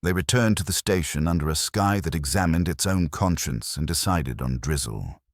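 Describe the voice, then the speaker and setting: Very deep, husky male narrator. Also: no echo. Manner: dry, measured, literary. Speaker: narrator of a detective story. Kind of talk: scripted audiobook narration.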